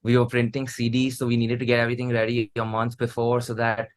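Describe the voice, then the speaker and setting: A man's voice: mid-range voice, monotone, fast talker. A man with an Indian English accent chatting casually as a band musician being interviewed. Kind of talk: casual chat.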